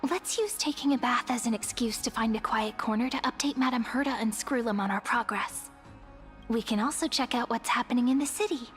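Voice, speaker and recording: An actress playing a curious, shrewd young adventurer in a fantasy video game, speaking in a light, conversational way. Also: female voice, a little high, natural voice. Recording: long reverb, music underneath.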